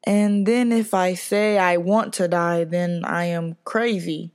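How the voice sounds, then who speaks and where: A woman's voice: on the low side, steady tone, slow pace, darkish tone, smooth. A teenage girl performing her own spoken word piece, intense, pleading and confessional. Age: teens. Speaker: student performer.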